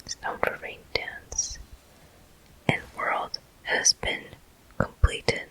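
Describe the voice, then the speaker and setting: A person whispering ASMR game commentary in a deliberate manner, pausing between short phrases. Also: bright, forceful tone, rough recording. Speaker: gameplay host.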